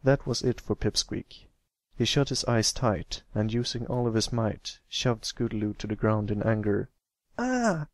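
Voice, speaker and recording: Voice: mid-range voice, little pitch movement, normal speed, dry recording. An amateur reader giving a scripted narration of a story.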